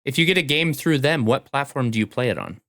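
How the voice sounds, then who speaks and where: A man's voice: medium pitch, lively intonation, fast, fairly bright tone, no echo, studio quality. A man chatting casually as a podcast co-host. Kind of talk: casual chat.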